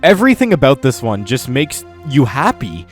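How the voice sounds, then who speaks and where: A man's voice: medium pitch, huge pitch swings, normal speed. A man hosting a scripted countdown video, commenting in a mock-earnest way with exaggerated praise. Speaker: video host. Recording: music under the voice. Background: music.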